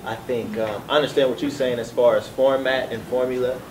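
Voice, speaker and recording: A man's voice: medium pitch, steady tone, a little fast. A man talking casually and emphatically as a music artist on a panel, in a noisy, echoey room. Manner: emphatic, repeats "you know what I'm saying". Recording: echoey room, distant, clear background noise.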